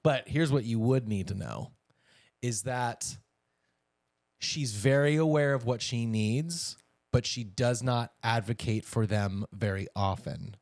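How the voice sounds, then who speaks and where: A man's voice: mid-range voice, takes it slowly, creaky, darkish tone, no echo, studio quality. A man co-hosting a podcast in casual banter, with a playful, teasing manner. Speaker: podcast co-host.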